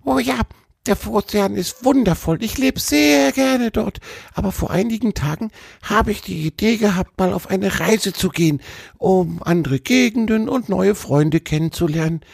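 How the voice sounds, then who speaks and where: A man voicing a cheerful, chatty little male woodpecker for a children's storybook, in a creaky, squeezed voice with bubbly, colloquial delivery. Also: sits high, normal speed, no room sound, studio quality, pitched above the performer's own voice, heavily acted. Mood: chatty, enthusiastic.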